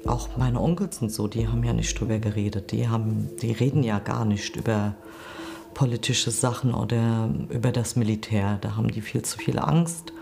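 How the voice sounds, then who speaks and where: Creaky-voiced woman in her forties. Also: very deep voice, moderate pace, noisy background. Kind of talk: reflective interview account. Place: quiet indoor room.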